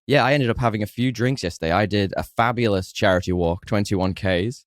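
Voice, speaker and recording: A hung-over man co-hosting a podcast, chatty and jokey, a bit raspy, talking to listeners. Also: fairly low pitch, brisk, no room sound, clean studio sound. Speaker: podcast co-host. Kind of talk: casual podcast chat.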